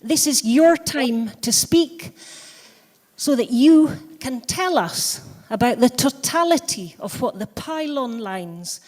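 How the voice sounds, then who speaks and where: A woman with a gentle Scottish Highland accent, chairing a convention and welcoming everyone in a measured public speech. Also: animated. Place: large hall. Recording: long hall reverb.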